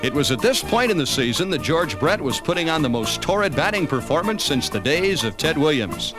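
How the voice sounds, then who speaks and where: A man's voice: a little high, sing-song, brisk. An American man reading scripted narration for a baseball season recap. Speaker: documentary narrator. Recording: music under the voice. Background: background music.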